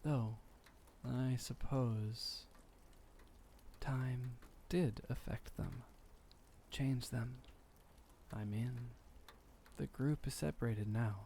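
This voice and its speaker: A man playing a gentle, melancholy, centuries-old vampire painter in an intimate ASMR roleplay, soft-spoken and musing, with formal old-fashioned phrasing. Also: medium pitch, drawn-out words, long pauses, slight background noise. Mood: wistful musing.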